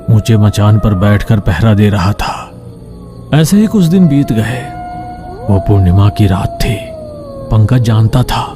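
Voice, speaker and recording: A man reading scripted story narration for a cartoon tale. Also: fairly low pitch.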